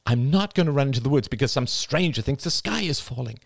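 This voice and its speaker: A man's voice: medium pitch, lively intonation, fast, vocal fry, no room sound, voice pushed up. A man voicing a tired, skeptical young mother, dry and exasperated in an audiobook reading. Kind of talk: audiobook dialogue.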